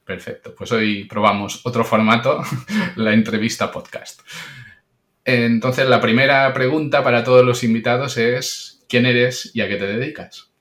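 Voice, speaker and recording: A man hosting a podcast interview, welcoming his guest and asking measured questions. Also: mid-range voice, fairly even intonation, a bit bright. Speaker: podcast host. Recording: roomy echo.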